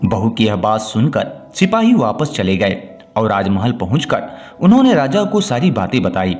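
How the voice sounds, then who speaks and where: A man telling a scripted moral folk tale as a storyteller, in the measured way of read narration. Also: fairly low pitch, sing-song, a little mellow. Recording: music bed under the voice.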